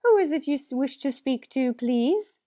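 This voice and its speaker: A woman's voice: sits high, animated, normal speed, dark tone, no room sound, clean studio sound. A woman playing a formal, matter-of-fact household telephone answerer in an old-time radio drama, polite and grave.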